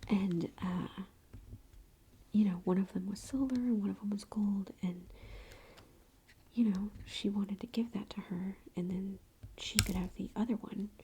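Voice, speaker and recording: Woman, mom, whispered ASMR storyteller; mellow, chatty, gossipy delivery with a faint background hiss. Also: mid-range voice, steady tone, measured pace, long pauses, poor audio. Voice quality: soft unvoiced whisper. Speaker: parent telling a story.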